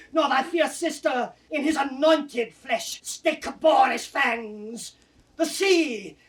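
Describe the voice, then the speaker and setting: Anguished old nobleman, high-voiced. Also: a bit bright, full character voice. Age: older. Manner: declamatory, impassioned. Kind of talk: 1960s Shakespearean audio drama acting. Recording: distant 1960s drama recording.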